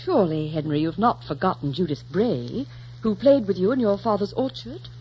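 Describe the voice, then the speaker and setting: An actress playing a poised, teasing young noblewoman in a 1940s radio romance, coolly playful and gently mocking. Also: medium pitch, very animated, moderate pace, lightly acted. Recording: dull, noisy 1940s radio recording.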